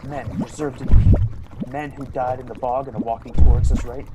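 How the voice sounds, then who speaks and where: A man's voice: mid-range voice, flat delivery, brisk, lo-fi sound, very noisy, natural voice. A man playing a frightened, defensive, trigger-happy husband in a melodramatic radio play, agitated and pleading. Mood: tense.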